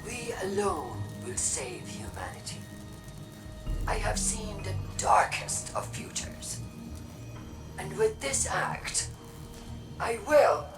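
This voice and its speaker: A woman playing a zealous, grave city leader in a video game, giving a grandiose, ominous, measured public address. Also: medium pitch, huge pitch swings, full character voice. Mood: resolute, fervent. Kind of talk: solemn public address, dramatic game acting. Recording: echoey public address, distant, over music.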